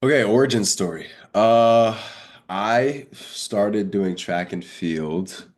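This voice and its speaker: A man's voice: on the low side, slow pace. A congested young man chatting casually as an interview guest, telling stories in informal slang and acting out remembered dialogue. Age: young adult. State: congested, under the weather. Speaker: guest, college high jumper.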